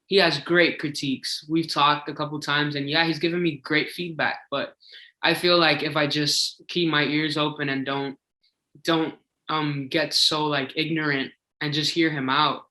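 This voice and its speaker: Chatty young man with a bright voice. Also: a little high, flat delivery, moderate pace. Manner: chatty, eager, many "like" fillers. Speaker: singing contestant. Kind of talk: casual interview answers. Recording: echoey room.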